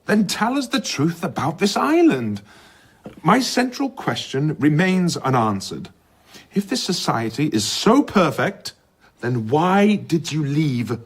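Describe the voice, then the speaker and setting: An actor playing a sceptical gentleman in a radio drama, husky, probing and wryly challenging. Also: fairly high pitch, big pitch swings, slow pace, full character voice. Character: sceptical, needling male English gentleman interrogator.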